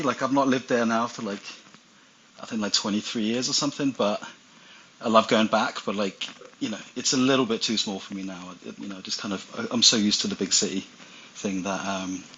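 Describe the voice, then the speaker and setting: A man's voice: on the low side, moderate pace, a bit bright, slight background noise. A man from Iceland, a guest in a casual interview, talking over a remote call in a rambling way with many fillers and restarts. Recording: dull lo-fi call audio.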